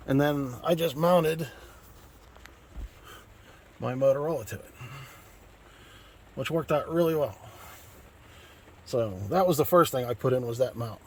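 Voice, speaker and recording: A man's voice: medium pitch, a little mellow, slight background noise. An American man giving a casual, conversational vlog walkthrough in a folksy, plain-spoken way. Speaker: vlogger, radio hobbyist.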